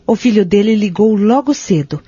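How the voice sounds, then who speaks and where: A woman playing a calm, devout caretaker at a care home in an audio drama, with a Brazilian accent and a formal, reassuring way of talking. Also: mid-range voice, moderate pace, husky, no echo. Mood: kindly. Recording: dull lo-fi audio, music behind.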